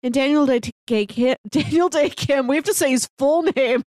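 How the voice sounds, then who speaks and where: A woman's voice: high-pitched, normal speed, darkish tone, no echo, clean studio sound. A woman co-hosting a podcast, casually discussing a movie in a chatty way and laughing easily as she talks. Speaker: podcast co-host.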